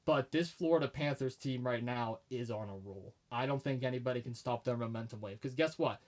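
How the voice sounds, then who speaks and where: Fast-talking opinionated man. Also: mid-range voice, darkish tone. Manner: opinionated, emphatic sports takes. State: losing his voice. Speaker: podcast co-host. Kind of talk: casual sports talk.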